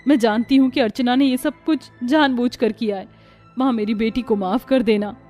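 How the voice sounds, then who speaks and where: A woman voicing a pious, long-suffering young mother in a cartoon, gentle, earnest and pleading. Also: on the high side, normal speed, soft background music, lightly acted. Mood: distressed pleading. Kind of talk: Hindi cartoon voice acting.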